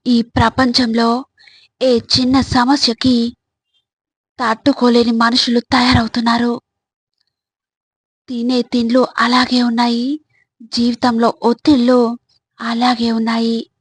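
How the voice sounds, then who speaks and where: A woman delivering scripted motivational advice in a measured, instructive way, speaking directly to the listener. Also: a little high, completely flat delivery, husky, dry recording.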